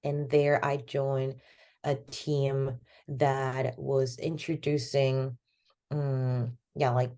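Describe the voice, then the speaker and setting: Guest, woman, 30s, from Venezuela; low, warm voice, loose rambling delivery. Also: flat delivery, extremely slow. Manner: rambling, many fillers like "like" and "you know". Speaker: podcast guest. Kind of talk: casual conversational interview.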